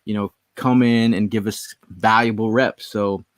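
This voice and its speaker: A man's voice: fairly low pitch, steady tone, a little slow, dry recording. Chill guy rambling on a sports podcast, dry close mic, lots of "you know". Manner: laid-back, rambling, says "you know" often. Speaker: podcast co-host. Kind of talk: casual sports chat.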